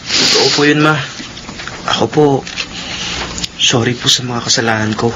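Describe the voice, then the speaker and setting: An actor playing an eager, remorseful young son in a radio drama, with background noise. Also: male voice, mid-range voice, normal speed, close to an everyday voice.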